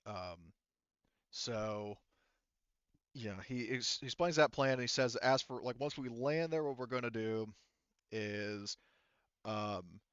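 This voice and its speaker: A man's voice: mid-range voice, slow pace, long pauses, fairly bright tone, smooth, no room sound, lo-fi sound. A man casually narrating a tabletop game as game master, explaining things in a rambling, informal way.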